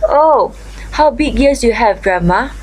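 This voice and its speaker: A woman's voice: fairly high pitch, animated, unhurried, a little mellow, slight background noise, voice pushed up, low-quality recording. A woman voicing a polite, innocent little girl in a storybook tale, with a naive, wondering manner.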